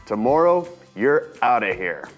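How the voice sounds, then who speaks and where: Man playing an animated, earnest kid pet owner. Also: mid-range voice, unhurried, faint music behind. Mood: grudging, firm. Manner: expressive, emphatic. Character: child narrator, earnest, grudging but softening pet owner.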